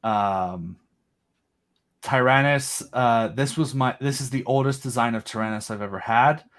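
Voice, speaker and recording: A man's voice: medium pitch, a little slow, fairly bright tone. A man as an interview guest, eagerly explaining his own creative project in casual, rambling chat. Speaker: guest, creator of an audio drama.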